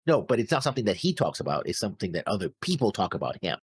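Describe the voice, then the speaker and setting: A man co-hosting a reaction show, chatting casually in a halting, rambling way. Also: fairly low pitch, very animated, breathy edge, dry recording, clean studio sound. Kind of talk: casual chat.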